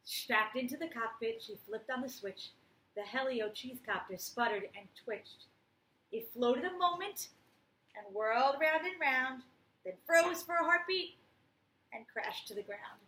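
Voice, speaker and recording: A woman reading a picture book aloud like a teacher, expressive, with chatty explanatory asides for young children. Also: on the high side, measured pace. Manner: expressive, explains words and story to young listeners. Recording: roomy echo, faint background noise.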